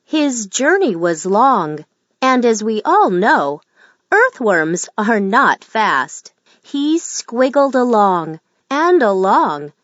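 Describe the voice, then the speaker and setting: A woman narrating a children's story aloud in a gentle, measured storytelling manner. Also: a little high, no room sound, dull audio. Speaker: storybook reader.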